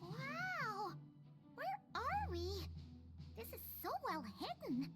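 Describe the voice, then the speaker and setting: A woman voicing a small, excitable fairy companion in an anime game, childlike and dramatic with lots of exclaiming. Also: extremely high pitch, slow pace, exaggerated voice. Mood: startled, amazed. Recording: loud game music behind. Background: loud music.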